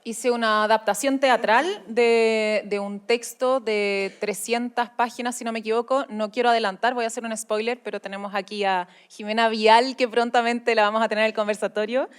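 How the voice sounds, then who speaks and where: A woman's voice: on the high side, fairly even intonation, normal speed, hardly any pauses, clean tone. A woman with a Chilean accent presenting live as an event host, informal and playful. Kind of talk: live presentation, casual chat.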